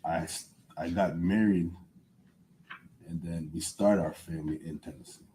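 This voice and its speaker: A man in his thirties from Connecticut with a slight Jamaican accent, testifying as a witness in short, quiet answers. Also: deep voice, fairly even intonation, moderate pace, a little hiss or hum, poor audio. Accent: slight Jamaican on some words. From Connecticut, USA. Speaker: witness, former police officer. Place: courtroom witness stand.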